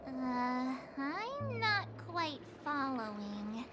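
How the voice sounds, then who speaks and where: A woman's voice: sits high, very animated, drawn-out words, noisy background, heavily acted. An actress voicing a cheerful, curious young woman adventurer who chats in a bubbly, sometimes unsure way. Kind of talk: game cutscene dialogue. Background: loud game music.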